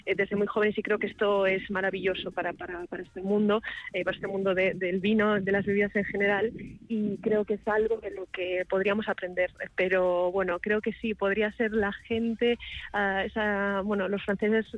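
A woman's voice: medium pitch, moderate pace, no echo, narrow, tinny audio. A woman in her thirties, a sommelier, answering, thoughtful and full of small restarts. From Galicia, Spain. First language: Galician. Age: 30s. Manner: thoughtful, restarts often, even delivery. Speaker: sommelier interviewee. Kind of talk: radio interview answers.

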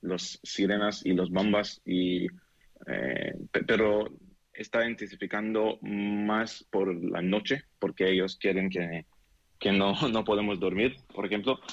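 Deep-voiced young Israeli man, dull audio. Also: no echo. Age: 20s. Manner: halting non-native speech, searching for words. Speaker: interviewee giving an eyewitness account. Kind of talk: unscripted interview. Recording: dull, band-limited remote call audio.

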